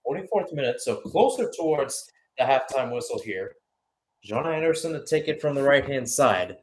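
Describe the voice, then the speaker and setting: A man's voice: medium pitch, expressive, moderate pace. A man giving radio-style live play-by-play football commentary as the match commentator.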